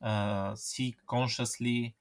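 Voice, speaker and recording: Measured male interviewer asking questions. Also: mid-range voice, steady tone. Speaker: interviewer, host.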